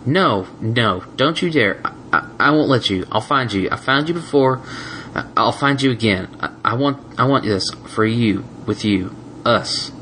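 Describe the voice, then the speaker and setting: A man's voice: mid-range voice, lively intonation, normal speed, pushed and bright, slight background noise, voice pushed up. A man voicing a nervous but defiant young stallion who stammers in a Southern drawl. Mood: pleading. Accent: Southern US drawl, put on. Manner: stammering, earnest.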